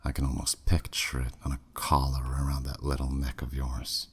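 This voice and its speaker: A man's voice: completely flat delivery, moderate pace. A man voicing a flirty, menacing demon who taunts a captive in a teasing, smug way, in a scripted ASMR roleplay. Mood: teasing, coaxing. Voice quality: very deep, husky, breathy at phrase ends. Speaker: audio roleplay performer.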